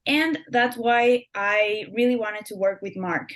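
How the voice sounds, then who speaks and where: A woman's voice: mid-range voice, unhurried, a bit bright. A young woman from Ecuador, a guest author answering interview questions in a chatty way, with many fillers and restarted words.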